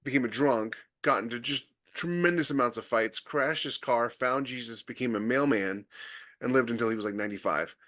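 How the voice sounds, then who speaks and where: Podcast guy, punchy and emphatic, middle pitch, just chatting. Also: brisk, fairly bright tone. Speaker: podcast co-host. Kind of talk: casual chat.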